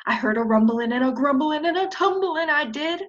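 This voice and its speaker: A woman's voice: high-pitched, lively intonation, normal speed, highs cut off, pitched above the performer's own voice. A woman voicing a frantic female prairie chicken from a storybook, exclaiming her warnings urgently.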